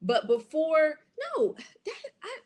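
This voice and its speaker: An American woman with a fairly high voice talks to her audience like a coach at a training. She is lively and a bit preachy, and she keeps speaking right to the listeners. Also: takes it slowly. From United States. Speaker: business coach.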